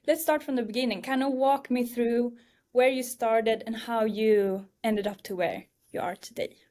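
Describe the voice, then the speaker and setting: Measured woman hosting a podcast. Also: on the high side, fairly even intonation, mellow. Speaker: podcast host. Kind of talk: scripted intro and interview questions.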